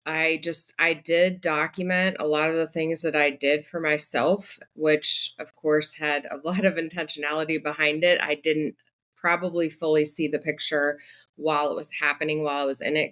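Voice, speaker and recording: A woman guest on a podcast, speaking in a measured, reflective way about her work with grief, with frequent pauses and ums. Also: low-pitched, fairly bright tone. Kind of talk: conversational interview answers.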